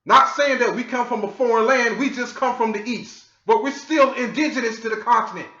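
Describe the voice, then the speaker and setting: A man from the United States lecturing his viewers in a scolding, forceful way and often asking "okay?". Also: extremely high pitch, fairly quick. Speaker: online commentator. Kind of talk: lecturing monologue. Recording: echoey, dull lo-fi audio.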